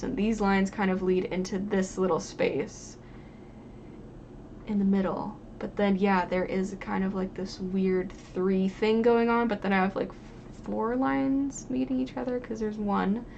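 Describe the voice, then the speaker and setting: A woman streamer with a noticeably creaky voice chats casually with her viewers. She rambles and thinks out loud in an informal way, with some steady noise behind her. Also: mid-range voice, dull audio. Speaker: live streamer drawing digital art and chatting with viewers. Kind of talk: casual livestream chat.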